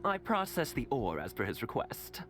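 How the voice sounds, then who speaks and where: A man's voice: medium pitch, wildly swooping pitch, moderate pace, fairly bright tone, slight background noise. An actor playing a polite, deferential male craftsman shopkeeper in a video game, with a hesitant, theatrical manner. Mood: musing.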